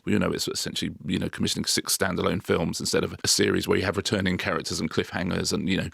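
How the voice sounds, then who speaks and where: A man co-hosting a podcast, telling a story in casual chat with quick restarts. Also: sits low, level tone, creaky, a bit bright, slightly rough, dry recording, studio quality. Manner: fast, storytelling, frequent restarts. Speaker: podcast co-host.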